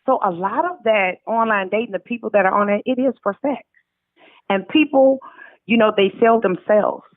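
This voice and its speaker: A woman's voice: mid-range voice, normal speed, dry recording. A middle-aged woman with an American accent telling her story casually and candidly, with emphatic, colloquial phrasing, over a phone call. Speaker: interview guest, author. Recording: narrow, tinny phone line.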